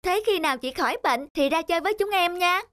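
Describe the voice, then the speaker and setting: A woman's voice: very high voice, fast talker, no echo, clean studio sound. A woman voicing an innocent, friendly little boy in a cartoon drama, with a childlike, eager, curious manner. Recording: loud children's music behind.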